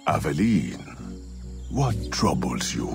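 An actor playing a grave, watchful middle-aged mentor in a video game, speaking in a measured, solemn way. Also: male voice, very deep voice, big pitch swings, music in the background, heavily acted. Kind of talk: video game cutscene acting.

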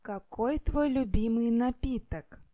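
A woman language teacher whose native language is Russian asks short practice questions in a clear, scripted way. Her voice sits a little high but sounds mellow and soft-edged. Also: slow.

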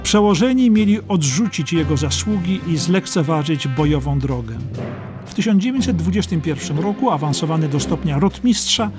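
High-pitched man narrating over music. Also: lively intonation, moderate pace. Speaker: audiobook narrator. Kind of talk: scripted documentary history narration.

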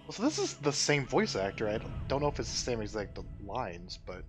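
Very animated man chatting casually. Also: medium pitch, a little fast. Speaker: co-commentator. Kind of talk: casual chat reacting to game cutscenes. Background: game cutscene music.

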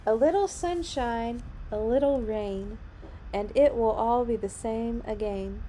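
A woman's voice: a little high, unhurried, mellow, low-quality recording. A young adult woman reading picture books aloud to children, expressively, with her own little asides on the story. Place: small room at home, indoors. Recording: noticeable background noise, home recording.

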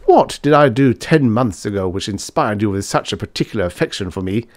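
A man's voice: fairly low pitch, lively intonation, brisk, no echo, studio quality, lightly acted. A man voicing an irascible, pompous older professor in a storybook reading, blustering and imperious. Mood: exasperated. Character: irascible, pompous older male German professor, bellowing indignantly.

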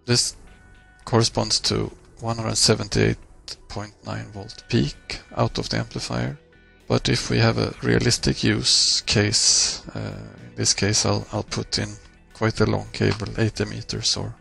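Guy doing a screencast walkthrough, very creaky voice, bright and forceful edge, pauses mid sentence. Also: fairly low pitch, little pitch movement, faint music behind. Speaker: software tutorial presenter. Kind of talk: screencast software walkthrough, explanatory.

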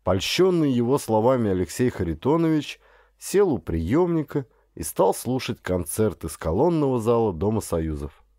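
A man narrating a literary audiobook in an expressive, dramatic storytelling manner. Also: mid-range voice, moderate pace, dry recording, clean studio sound.

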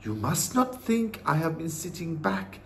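A man voicing a calm, wise old grandmother in a storybook, speaking softly and reassuringly in a measured, hushed way. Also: fairly high pitch, creaky, heavily acted. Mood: calmly knowing.

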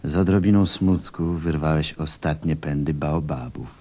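A man playing a grave, gentle narrator and confidant, telling a story in a measured, reflective way. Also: a bass voice, fairly even intonation. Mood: solemn storytelling.

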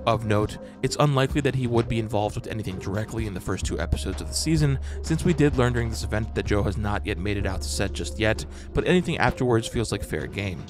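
A man narrating a scripted breakdown as a host, in a measured, explanatory manner. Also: fairly low pitch, expressive. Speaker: host of a fan breakdown channel. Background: music.

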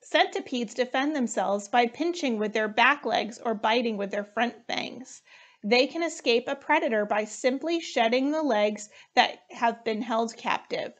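Female storybook reader, thirties, higher-pitched, very measured pace, expressive delivery. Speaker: firefighter reading storybooks aloud. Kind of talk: children's storytime read-aloud.

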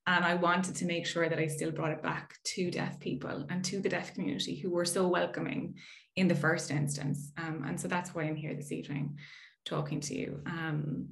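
A woman's voice: on the low side, vocal fry. Chatty Irish woman presenting on a call. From Ireland. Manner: friendly, chatty, frequent "um" fillers. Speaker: researcher giving a talk. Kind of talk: casual presentation over video call. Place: indoor room at home. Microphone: video call.

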